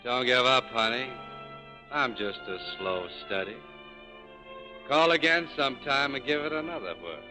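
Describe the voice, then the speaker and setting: An actor playing a heartbroken, cynical American bar owner with sardonic, slangy delivery in a 1940s radio drama. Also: male voice, medium pitch, lively intonation, moderate pace, close to an everyday voice. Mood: bitter, mocking. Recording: vintage radio broadcast with music.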